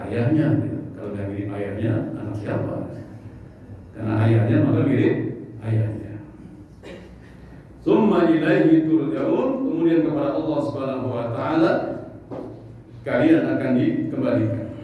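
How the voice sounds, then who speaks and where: A man's voice: mid-range voice, animated, normal speed, long pauses, a little hiss or hum. A man preaching a joking, conversational mosque sermon and asking his listeners questions. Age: 50s. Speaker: Islamic preacher. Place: large mosque hall. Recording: echoey, distant, dull mosque recording.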